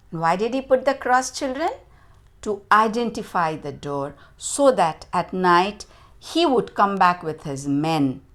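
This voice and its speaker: A woman storyteller narrating for children with dramatic, deliberate emphasis and questions to her listeners. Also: mid-range voice.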